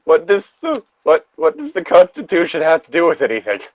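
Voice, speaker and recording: Male comedy co-host, mid-pitched and animated, wry and halting, slightly muffled lo-fi recording. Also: no echo. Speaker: podcast co-host reading fan fiction aloud. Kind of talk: casual joking commentary and read-aloud.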